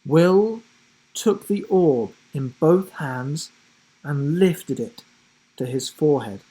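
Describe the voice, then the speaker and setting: A man's voice: fairly high pitch, animated, takes it slowly, mellow, breathy edge, low-quality recording. A man reading a children's adventure story aloud with dramatic, theatrical delivery. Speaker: story reader. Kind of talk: dramatic scripted storybook narration.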